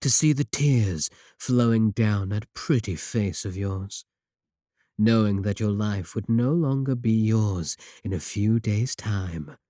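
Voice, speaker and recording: A man playing a sly, sadistic warlock in a roleplay audio drama, smug and possessive, with taunting, deliberate phrasing. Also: fairly low pitch, dry recording, studio quality. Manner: taunting, drawn-out, deliberate phrasing with dark chuckles. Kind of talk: scripted roleplay audio drama, spoken to the listener.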